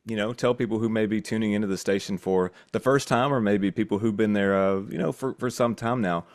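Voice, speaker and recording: A man's voice: on the low side, brisk, dry recording. A middle-aged man with an American accent, hosting a conversational interview podcast on a close mic. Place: small indoor room. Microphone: close desk boom mic.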